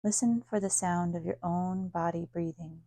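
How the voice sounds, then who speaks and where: Low, creaky-voiced woman guiding meditation. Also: no echo. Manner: calm, soothing, unhurried, with long pauses. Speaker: yoga nidra teacher. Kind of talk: guided meditation.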